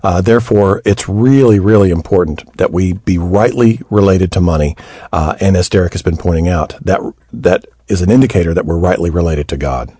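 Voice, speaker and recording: A man, a pastor joining a radio show as guest co-host, talking calmly and earnestly. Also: sits low, brisk, slightly rough, dry recording, studio quality. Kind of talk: casual radio chat.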